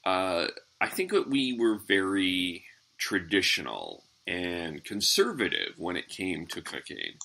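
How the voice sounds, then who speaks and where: Host: a man in his forties with a low, gravelly, husky voice, hesitant and hedging. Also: sing-song, a little slow, bright, forceful tone. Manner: hesitant, restarts and hedges. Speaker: podcast host. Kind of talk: casual interview chat.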